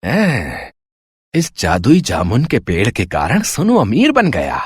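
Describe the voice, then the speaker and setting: Jealous storybook villain, acted by a man: low, slow, gloating, wildly swinging intonation. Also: wildly swooping pitch, husky, no echo, studio quality, exaggerated voice. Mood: scheming, gloating. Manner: slow, gloating, drawn-out plotting. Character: jealous greedy scheming village neighbour, a man.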